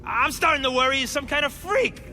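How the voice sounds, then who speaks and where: A man's voice: very high-pitched, lively intonation, a little fast, sharp tone, faint music behind, full character voice. A man playing a jittery, bragging small-time criminal turned supervillain in a video game, stammering and tacking questioning tags onto his sentences. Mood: panicked. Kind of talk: video game cutscene acting.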